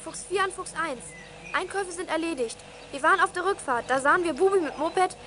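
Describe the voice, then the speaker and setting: A kid detective on walkie-talkie, super high bright voice, clipped and matter-of-fact. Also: a little fast, slight background noise. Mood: brisk, alert. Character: businesslike child detective reporting by walkie-talkie. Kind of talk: German children's radio play acting, radio protocol.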